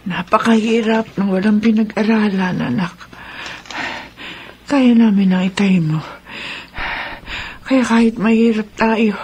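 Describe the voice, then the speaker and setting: A woman's voice: medium pitch, steady tone, unhurried, pauses often, darkish tone, a little hiss or hum, natural voice. An actress playing a sickly, sorrowful poor mother, weary and full of pity, in a radio drama. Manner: weary, pitying.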